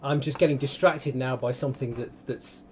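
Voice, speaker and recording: A man hosting an online live event in a casual, unscripted way, often halting and interrupting himself. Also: medium pitch, fairly even intonation, slight background noise. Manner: rambling, halting, self-interrupting. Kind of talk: casual, unscripted livestream talk. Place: indoor room, online video call.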